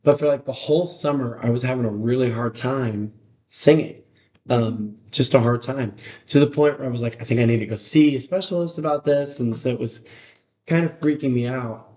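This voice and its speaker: A man with a raspy voice, a musician guest chatting casually on a podcast, rambling with lots of fillers. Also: mid-range voice, moderate pace, darkish tone. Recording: roomy echo.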